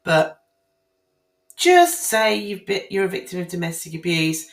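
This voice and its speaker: A woman with a croaky, hoarse voice, speaking casually and emphatically to her viewers about family court matters, often repeating herself and restarting phrases. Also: lowish voice, big pitch swings, a little slow. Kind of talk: casual spoken commentary on guidance to camera. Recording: roomy echo.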